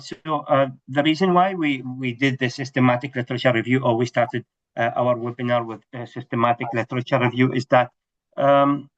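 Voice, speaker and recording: A man's voice: mid-range voice, lo-fi sound. Hesitant man lecturing over video call. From Iraq. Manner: hesitant, many fillers and restarts. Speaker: AI researcher presenting a webinar. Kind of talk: webinar lecture.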